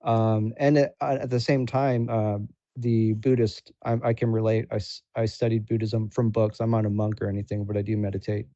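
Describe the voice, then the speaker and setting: A man chatting casually as a guest, in an informal, halting, slangy way. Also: fairly low pitch, little pitch movement, mellow, no echo, dull audio. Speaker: guest, supporter and content creator. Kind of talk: casual chat.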